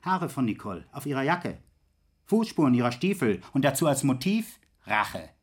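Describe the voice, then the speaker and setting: Husky, bullying police inspector. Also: male voice, medium pitch, very animated, normal speed. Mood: grim, pressing. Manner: gruff, cursing, hammering repetition. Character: coarse, bullying police inspector, contemptuous and impatient. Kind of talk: radio drama acting.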